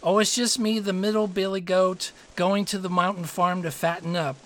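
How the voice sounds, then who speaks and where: Man doing an anxious, high-voiced middle billy goat. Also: steady tone, normal speed, fairly bright tone. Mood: meek. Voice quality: less delicate than the smallest goat.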